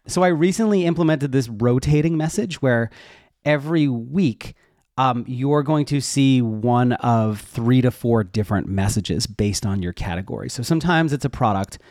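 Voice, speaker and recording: A man's voice: mid-range voice, normal speed, a little mellow, dry recording, clean studio sound. A man talking casually and informally as an interview guest, explaining how he works in a conversational way. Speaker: interview guest, web developer and educator.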